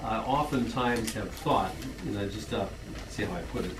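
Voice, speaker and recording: Son reading a rhyming poem from afar. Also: male voice, fairly low pitch, normal speed, reverberant, recorded from a distance, noisy background. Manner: earnest, with small stumbles and soft laughs. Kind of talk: reading his own rhyming poem aloud. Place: church hall.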